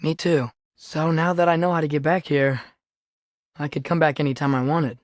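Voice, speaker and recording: A man's voice: a little high, steady tone, normal speed, no room sound, studio quality, lightly acted. A young male actor playing an earnest, curious, impulsive teenage boy in a Norse myth video game, speaking naturally with a hesitant, boyish manner.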